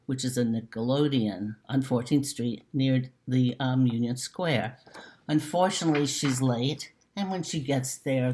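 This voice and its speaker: A woman's voice: extremely low pitch, darkish tone. An older woman in her sixties speaks as an author giving a prepared introduction to her mystery novel. She is recorded at desk distance on a webcam in a room at home. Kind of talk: prepared book introduction to camera. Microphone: laptop webcam at desk distance.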